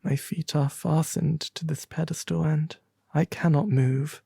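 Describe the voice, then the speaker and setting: A performer voicing a gentle, sorrowful prince statue from a fairy tale, tender and pleading. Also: medium pitch, steady tone, measured pace, gravelly, breathy edge, no echo, clean studio sound, heavily acted.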